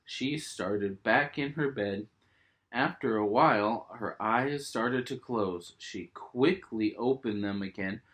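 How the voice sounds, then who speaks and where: A man's voice: fairly low pitch, slow, a bit bright. A man reading a children's storybook aloud expressively, with simple asides for young listeners.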